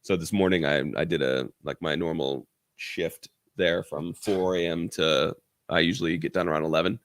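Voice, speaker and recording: Man, very low voice, easygoing, halting, dry close sound. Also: fairly even intonation, no echo. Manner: easygoing, halting with many ums. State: recently over the flu. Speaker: podcast guest, children's author. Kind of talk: casual podcast chat.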